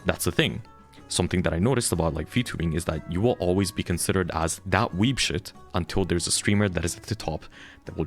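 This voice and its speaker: Deep-voiced young man, blunt heated rant, low pitch, soft music underneath. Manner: frank and heated, swears freely. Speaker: VTuber streamer. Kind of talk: candid ranting monologue.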